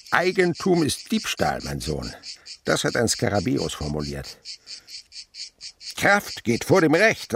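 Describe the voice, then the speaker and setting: Radio drama lead: impassioned insect-researcher father, gravelly and hugely animated, lecturing children lyrically. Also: fairly low pitch, full character voice. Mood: excited, declaiming. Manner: theatrical, rhapsodic, lecturing to children. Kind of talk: German radio drama acting.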